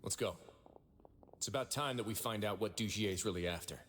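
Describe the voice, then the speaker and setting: Male actor lowish voice, composed authoritative fortress boss, deliberate, commanding, video game dialogue. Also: fairly bright tone, a little hiss or hum, close to an everyday voice. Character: composed, authoritative male prison fortress chief, shrewd, stern. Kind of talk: anime game voice acting. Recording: faint game music behind.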